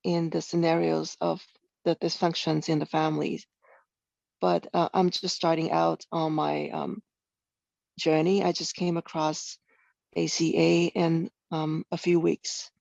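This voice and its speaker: A woman from Taiwan, sharing hesitantly with many pauses as a newcomer on a video call. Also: low-pitched, level tone, gravelly, no room sound. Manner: hesitant, many ums, soft-spoken. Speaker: newcomer meeting member. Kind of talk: gentle personal share. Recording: dull lo-fi dry call audio.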